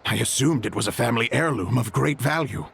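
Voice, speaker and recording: Effusive creaky-voiced game townsman. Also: mid-range voice, animated, a little fast, fairly bright tone, slight background noise. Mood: excited, explaining. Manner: effusive, polite, earnest. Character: eager, courteous merchant man who admires lucky coins. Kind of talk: anime-style video game voice acting.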